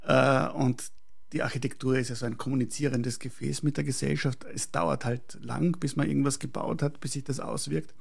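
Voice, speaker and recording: A man from Prague, speaking as an architect in a reflective interview, deliberate and searching for words. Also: mid-range voice, steady tone, creaky, slightly rough. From Prague, Czechoslovakia, later schooled in Austria.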